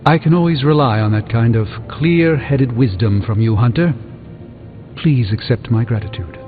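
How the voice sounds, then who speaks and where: An actor playing a middle-aged, scholarly sorcerer superhero in a video game, speaking in an articulate, wry, formal manner. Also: male voice, mid-range voice, normal speed, close to an everyday voice. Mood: dryly polite. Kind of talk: video game character dialogue. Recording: thin phone-like audio, music behind.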